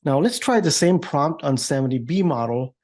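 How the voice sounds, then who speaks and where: A man giving an informal, upbeat software demo walkthrough as a developer advocate. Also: a little high, lively intonation, normal speed. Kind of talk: tech demo walkthrough, semi-scripted.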